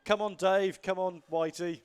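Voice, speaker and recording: A man's voice: high voice, fairly even intonation, unhurried, smooth, soft background music. A man co-hosting a live esports event, upbeat as he calls players to the stage and thanks sponsors. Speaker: co-caster and stage host.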